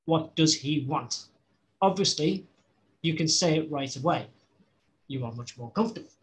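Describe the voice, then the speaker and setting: Man, Scouse accent, slightly high voice, halting read-aloud, restarts words, video call. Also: lively intonation. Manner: halting reading with restarts and self-corrections. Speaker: study group member reading aloud. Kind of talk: reading a seminar text aloud.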